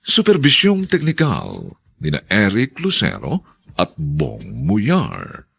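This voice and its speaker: A man announcing a radio drama and its credits in a theatrical, deliberate, scripted style. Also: sits low, huge pitch swings, creaky, fairly bright tone, husky.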